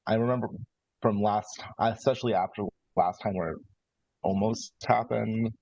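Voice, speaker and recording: A man plays a calculating brother in a 1950s horror roleplay game. His voice is very low and gravelly, and he lays out his words deliberately, in halting phrases. The sound is slightly dull, with music underneath. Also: fairly even intonation, a little mellow, no room sound. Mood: cautious, pragmatic. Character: calculating adult brother from a ruthless 1950s family. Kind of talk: improvised in-character tabletop roleplay. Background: background music.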